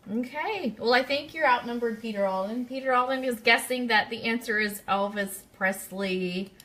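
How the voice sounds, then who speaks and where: A woman's voice: a little high, moderate pace, a bit bright, rough recording. A woman hosting a casual live-streamed radio quiz, chatty and speaking directly to her listeners. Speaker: radio show host.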